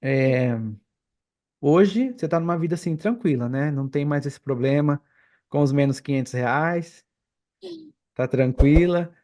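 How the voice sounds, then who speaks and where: A man's voice: fairly high pitch, a little slow, pauses often, warm tone. Brazilian male podcast host, informal interviewer, chatty conversational delivery. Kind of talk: casual interview chat.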